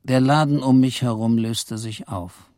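Male actor as weary clerk narrator for noir radio drama: dark, mid-pitched, ironic, dry studio sound. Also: steady tone, a little slow, dry recording, lightly acted. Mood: brooding. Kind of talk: first-person radio play narration.

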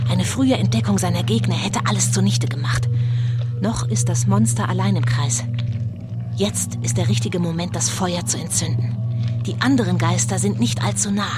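An actress playing a suspenseful live reporter commentating on a ghost hunt in a children's audio drama. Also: female voice, medium pitch, natural voice. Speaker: on-scene reporter. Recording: loud suspense music underneath.

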